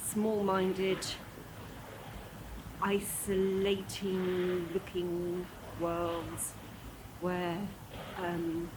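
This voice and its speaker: A woman giving a considered opinion, pausing often as she chooses her words. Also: lowish voice, monotone. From moved to Scotland from Cornwall, England. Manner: deliberate, pausing. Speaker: interviewee. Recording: noisy street recording.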